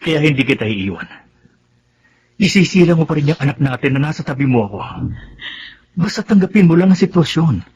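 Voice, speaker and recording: High, lively-voiced cheating husband. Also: male voice, brisk, pauses often, highs cut off, slight background noise. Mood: pleading. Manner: pleading, self-justifying. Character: cheating husband, guilty, defensive, appeasing his women. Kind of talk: Tagalog radio drama acting.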